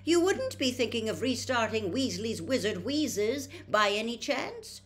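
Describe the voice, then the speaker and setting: A woman voicing a fretful middle-aged mother of a big family, quick to scold, in a storybook reading. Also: fairly high pitch, sing-song, pitched above the performer's own voice. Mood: sharp, suspicious. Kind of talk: storybook character voice.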